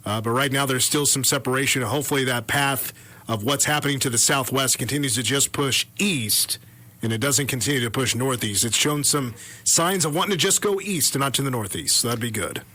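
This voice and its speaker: A man co-hosting live radio weather coverage, commenting off the cuff on storms and thinking aloud with halting self-corrections. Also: mid-range voice, sharp tone, no room sound.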